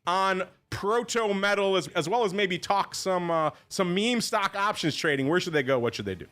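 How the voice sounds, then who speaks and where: Male podcast host, deep voice, jokey rambling chat, animated pitch, bright tone. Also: normal speed. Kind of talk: casual chat.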